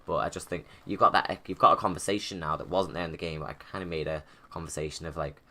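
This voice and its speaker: A man's voice: rumbling low, steady tone, fast talker, sharp tone. A man giving casual, informal livestream commentary, rambling and thinking aloud as he goes. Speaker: video creator giving commentary.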